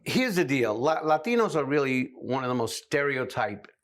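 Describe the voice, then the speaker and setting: A man's voice: medium pitch, very animated, normal speed. A middle-aged man from New City, a stand-up comedian guest telling wry anecdotes that land on punchlines. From New York City. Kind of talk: casual comedic storytelling.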